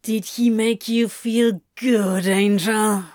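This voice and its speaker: A woman's voice: mid-range voice, slow pace, no room sound, studio quality, natural voice. A woman voicing a jealous, bitter male lover in an audiobook drama, growling and mocking through gritted teeth. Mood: angry, jealous.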